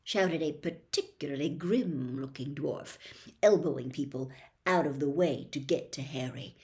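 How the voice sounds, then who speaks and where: A woman reads a children's novel aloud as narrator. Her voice sits slightly low and expressive, sinking to a near whisper in hushed moments. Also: unhurried. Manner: expressive reading, dropping to a hush for quiet moments. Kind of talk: storybook read-aloud.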